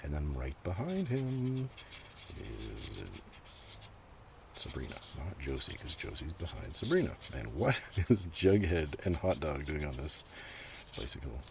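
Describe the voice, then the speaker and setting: An extremely deep-voiced man rambles through a casual ASMR show-and-tell, half murmured and sometimes whispered. His intonation wanders up and down in a lively way. He is recorded outdoors, with clear breeze noise and rustling pages around the voice. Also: a little slow. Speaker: host of a collection show-and-tell. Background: breeze, paper rustling and page flipping.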